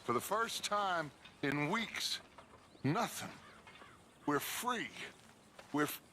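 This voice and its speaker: A man's voice: a little high, lively intonation, slow, bright, forceful tone, slight background noise, full character voice. An actor playing a grandiose, charismatic outlaw gang leader in a western game, fatherly and theatrical. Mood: elated, earnest.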